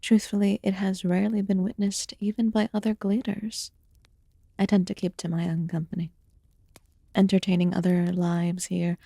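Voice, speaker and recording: A woman playing an ancient, aloof fae in a fantasy ASMR roleplay, murmuring softly and formally with some whispered phrases. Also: medium pitch, gravelly, no room sound, studio quality. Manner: slow, deliberate, archaic formal wording. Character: ancient aloof female fae recluse, haughty yet quietly caring.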